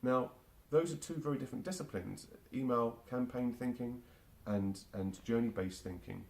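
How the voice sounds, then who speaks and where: A man's voice: mid-range voice, moderate pace, darkish tone. A man in his forties with a London accent, answering interview questions with frequent fillers and restarts. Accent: London English. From England. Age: 40s. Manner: hesitant, many restarts and fillers. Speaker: marketing automation specialist, guest.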